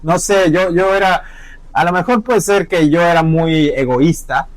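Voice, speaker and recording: A man's voice: fairly high pitch, measured pace, no room sound, low-quality recording. A Mexican man with a Mexican accent who hosts a podcast, chatting casually and agreeably. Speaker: podcast host. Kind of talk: casual conversation.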